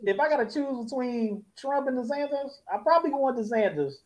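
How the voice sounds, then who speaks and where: A man in casual podcast debate, reasoning out loud and posing rhetorical questions in a conversational way. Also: very high-pitched, expressive, a little fast. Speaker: podcast panelist.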